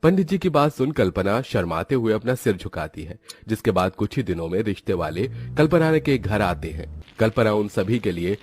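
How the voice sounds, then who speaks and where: A man's voice: on the low side, sing-song, moderate pace, darkish tone, slightly rough, faint music behind. A man narrating a scripted children's moral story as its storyteller.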